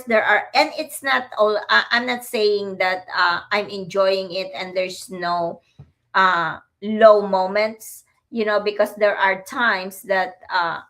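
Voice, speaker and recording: A woman's voice: medium pitch, vocal fry, fairly bright tone. A woman in her fifties with a Filipino accent, co-hosting a casual livestream and talking straight to her viewers in a friendly way. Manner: friendly, speaks straight to viewers, laughs easily. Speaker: livestream co-host.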